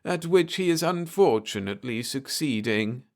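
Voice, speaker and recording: A man voicing a courteous reptilian alien starship captain, speaking softly and formally with a slightly slurred, measured delivery. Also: medium pitch, animated, pitched above the performer's own voice, close to an everyday voice. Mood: grave. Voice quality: soft, slurred voice.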